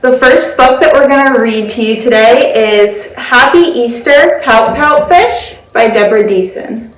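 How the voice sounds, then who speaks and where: Higher-voiced woman reading children's stories, echoey. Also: a little slow. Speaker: library volunteer story time reader. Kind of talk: children's story read-aloud. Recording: roomy echo, distant mic, music behind.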